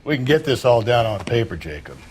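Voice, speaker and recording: A man's voice: mid-range voice, sing-song, brisk, natural voice. Mocking hard-boiled detective played by a man, goading tone, faint noise, game dialogue. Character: sneering tough male police detective. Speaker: detective partner.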